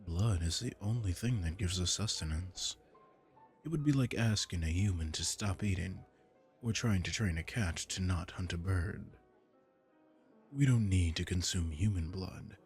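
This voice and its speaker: A man voicing a suave aristocratic male vampire lord in an ASMR roleplay, smooth and teasing. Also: low-pitched.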